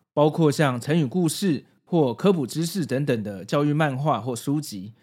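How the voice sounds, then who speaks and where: A man's voice: fairly high pitch, darkish tone, slightly rough. A man from Taiwan with a Taiwanese Mandarin accent hosting a podcast in prepared narration. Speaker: podcast host.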